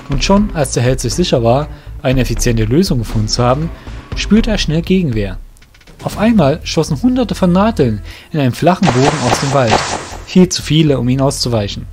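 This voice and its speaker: Male fantasy narrator over clear music. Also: mid-range voice. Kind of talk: scripted fantasy story narration.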